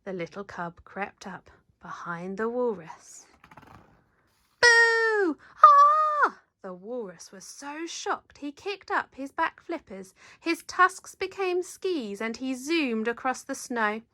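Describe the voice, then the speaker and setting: Lady reading a kids book, unhurried n expressive, bright voice, really close dry sound. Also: fairly high pitch, no room sound. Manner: animated, dramatic, leans into sound words. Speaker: storyteller reading a children's picture book. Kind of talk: expressive read-aloud narration.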